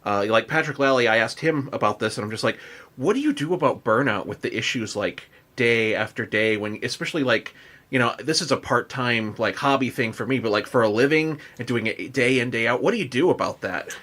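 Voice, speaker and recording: Halting male podcast host, mid-pitched. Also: fairly bright tone. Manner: halting, rambling, repeats words. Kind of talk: casual chat.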